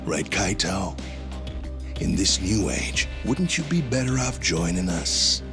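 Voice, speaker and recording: A man's voice: sits low, big pitch swings, takes it slowly, over music, full character voice. A man voicing a taunting, menacing gang enforcer in a video game, with a swaggering, mocking delivery. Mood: cocky. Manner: swaggering, mocking, sudden drops to a menacing hush. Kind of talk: English video game dub acting.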